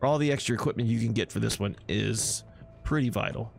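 A man's voice: mid-range voice, fairly quick, vocal fry. A man giving casual, rambling walkthrough commentary on a video game, talking to himself and to viewers. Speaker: gameplay host.

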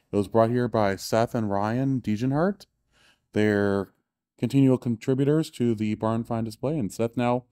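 A man's voice: mid-range voice, warm tone, studio quality. A man giving an enthusiastic, offhand walking tour of cars in a large indoor exhibition hall, correcting himself as he goes. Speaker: show tour guide and author. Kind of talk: casual walking tour commentary.

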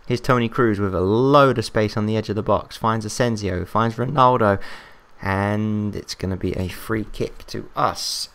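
An English man calls a football management game like a match, excitable and rambling as he talks to himself. His voice sits low and comes through smooth and clear, though it is wearing thin. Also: moderate pace. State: losing his voice, coughing. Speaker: game commentator.

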